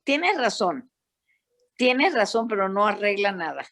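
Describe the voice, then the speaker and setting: An older woman from Panama with a Panamanian accent, talking as a psychologist guest in a panel discussion and telling stories in an animated, emphatic way. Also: mid-range voice, unhurried. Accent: Panamanian Spanish.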